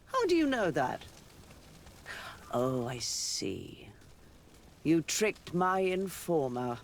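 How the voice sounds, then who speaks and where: A man playing a shrewd, sardonic political rival in a video game, speaking in a deliberate, knowing way. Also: fairly high pitch, huge pitch swings, gravelly, a little hiss or hum, heavily acted. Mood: wary. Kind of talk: video game cutscene acting.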